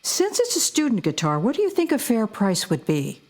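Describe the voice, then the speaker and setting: An actress playing a brisk, assertive woman in audio drama dialogue. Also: a little high, huge pitch swings, darkish tone.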